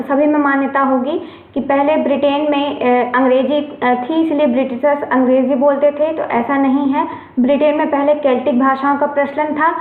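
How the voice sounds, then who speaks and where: A woman teacher giving an exam-prep lesson, explaining each point step by step and often checking in with her students. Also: sits high. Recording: echoey, phone-like lo-fi recording.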